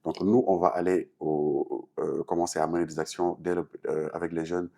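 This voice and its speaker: A man's voice: low-pitched, little pitch movement, moderate pace, creaky, warm tone. A Senegalese man answering interview questions in French-speaking West African style, explaining things earnestly with a Senegalese accent. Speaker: talk show guest, artist and activist.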